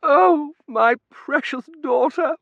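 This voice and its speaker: A man doing a shrill, wailing Victorian matron. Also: lively intonation, unhurried, a bit bright, no echo, dull audio. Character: imperious, melodramatic older Victorian matron, woman.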